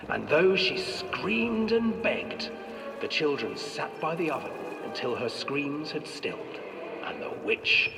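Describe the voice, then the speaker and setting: A man's voice: fairly high pitch, big pitch swings, slow pace, creaky, exaggerated voice. A man playing a sinister storyteller, reading a fairy tale in a theatrical, scripted way. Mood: ominous storytelling. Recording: echoey hall, distant, music behind.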